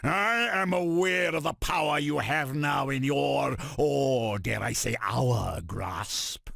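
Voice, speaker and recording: An actor playing an arrogant armored supervillain tyrant in a video game, declaiming in a pompous, commanding, gravelly voice. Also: male voice, medium pitch, takes it slowly, a bit bright, dry recording, clean studio sound, full character voice. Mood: scornful grandeur. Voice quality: gravelly, rasping. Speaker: video game villain character. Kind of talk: theatrical video game villain voice clips.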